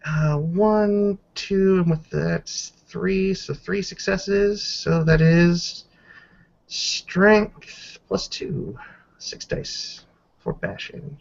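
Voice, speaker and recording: A man narrating a tabletop roleplaying game as game master, halting and descriptive, calling out dice rolls. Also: high-pitched, gravelly, lo-fi sound.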